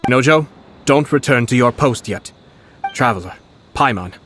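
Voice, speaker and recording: An actor voicing a poised, shrewd young noble commissioner in an anime video game, speaking in a measured, formal, articulate way. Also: male voice, mid-range voice, animated, fairly bright tone, slight background noise, lightly acted. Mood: stern, composed.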